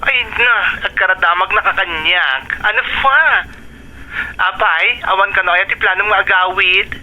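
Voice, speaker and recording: A woman's voice: mid-range voice, normal speed, highs cut off, close to an everyday voice. A woman voicing a chatty friend on the phone who keeps urging her friend to come home, in a radio drama. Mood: coaxing.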